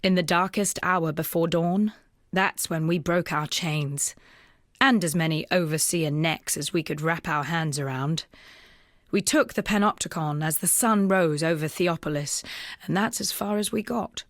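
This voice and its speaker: An actress voicing a weary, earnest young rebel woman, a wounded freed slave, in measured, solemn video game dialogue. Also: low voice, no room sound, studio quality.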